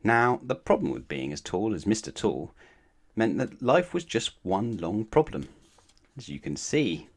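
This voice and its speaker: A man narrating a children's bedtime storybook aloud in an expressive read-aloud style. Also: lowish voice, a little slow.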